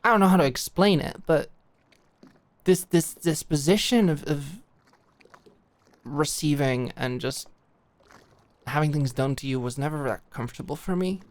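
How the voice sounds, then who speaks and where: A young woman playing a smitten, protective girl at camp in an intimate ASMR roleplay, speaking earnestly and haltingly. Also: deep voice, a little mellow, no echo. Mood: earnest, flustered. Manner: halting, earnest, giggly, stammering. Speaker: audio roleplay performer.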